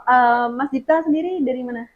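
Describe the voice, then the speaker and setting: A woman's voice: high-pitched, brisk, crowd noise. A woman radio host interviewing a band, curious and playful, often giggling. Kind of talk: casual interview. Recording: muffled radio studio sound.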